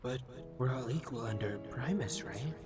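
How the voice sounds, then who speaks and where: The small, mid-pitched robot lookout, mouthy and unsure, caught snooping in a dubbed comic with a score underneath a man acts him out. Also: moderate pace, natural voice. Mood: hesitant, earnest. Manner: hesitant, flippant, informal. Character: nervous, wisecracking little male robot scout. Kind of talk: comic dub voice acting. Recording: music behind the voice.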